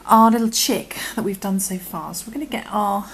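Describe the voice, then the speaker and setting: A woman with a croaky voice, patiently talking through a crochet tutorial and often dropping to a half-whisper as she works. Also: mid-range voice, a little fast, a little hiss or hum. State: croaky from illness. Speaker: crochet instructor. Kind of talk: step-by-step tutorial.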